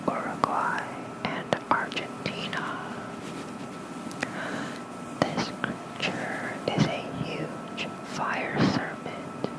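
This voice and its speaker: A soft ASMR host reads mythology in a full whisper, with no voiced tone. The delivery is gentle and very unhurried, spoken close into a fluffy-covered mic for a bedtime listener. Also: poor audio. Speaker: ASMR host reading lore. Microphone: close fluffy-covered microphone.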